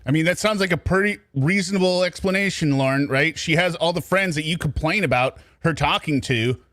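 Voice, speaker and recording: A man's voice: fairly high pitch, a little fast, a bit bright. A man hosting reaction commentary on a recorded call, sounding exasperated and sarcastic. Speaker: commentary host.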